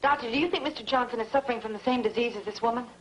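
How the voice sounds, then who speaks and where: Casting: woman to play inquisitive young American heroine of an old B-movie, brisk and quick-spoken. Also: fairly high pitch, a little hiss or hum, natural voice, rough recording. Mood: probing. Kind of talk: 1950s B-movie acting.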